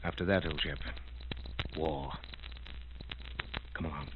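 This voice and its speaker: An actor playing a confident, clipped adventurer hero in 1930s radio drama style, brisk and commanding. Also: male voice, extremely low pitch, fairly even intonation. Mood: confident, grim. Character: confident, clipped British adventurer hero leading a dangerous mission. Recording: noisy, muffled 1930s radio recording.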